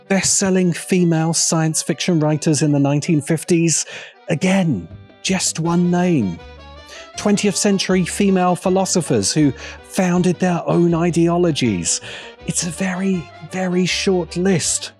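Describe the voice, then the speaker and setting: A man's voice: fairly high pitch, a little mellow. Sardonic male video essayist over faint music. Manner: sardonic, emphatic, deliberate phrasing. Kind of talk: scripted video essay narration. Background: faint background music.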